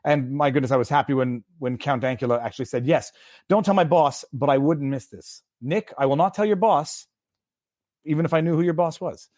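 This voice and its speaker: A man's voice: fairly high pitch, brisk, darkish tone, no room sound, studio quality. A man from Quebec hosting a livestream interview, chatty and rambling, firing off many questions. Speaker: host.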